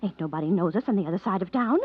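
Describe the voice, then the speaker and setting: A muffled vintage radio play. an anxious, scheming American working-class wife. She talks fast in plain, ungrammatical speech with a husky, very expressive voice. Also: fairly low pitch, no echo. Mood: eager, scheming. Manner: ungrammatical, plain-spoken, fretful. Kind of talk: 1940s-50s American radio drama acting. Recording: dull lo-fi vintage radio recording.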